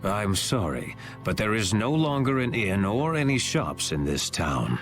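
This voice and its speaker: Deep, cryptic ancient sage. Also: male voice, sing-song, music in the background. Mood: calm, reserved. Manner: formal, deliberate, condescending. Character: ancient town sage, weary, cryptic and superior. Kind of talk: anime-style game voice acting.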